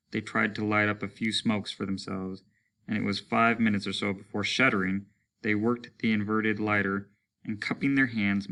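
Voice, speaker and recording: Male teacher as storybook narrator, lowish pitch, bright forceful tone, dramatic delivery. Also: gravelly. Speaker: teacher reading a story aloud. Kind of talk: dramatic audiobook-style narration.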